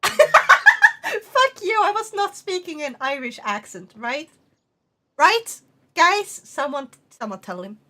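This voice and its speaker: A woman's voice: extremely high pitch, huge pitch swings, slow, bright, forceful tone, a little hiss or hum. A woman from Sweden with a Swedish accent, rambling animatedly in a casual livestream chat, swearing and laughing often. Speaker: streamer.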